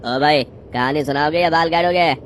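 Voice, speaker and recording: A man's voice: a little high, moderate pace, a little hiss or hum, voice pushed up, lightly acted. A man playing an impatient male customer in a cartoon village story. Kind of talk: cartoon story character acting. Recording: music behind the voice.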